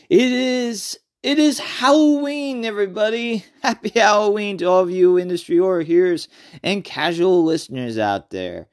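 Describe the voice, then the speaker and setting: Male horror podcast host, slightly high-pitched, unhurried and halting, casual solo chat with repeated phrases.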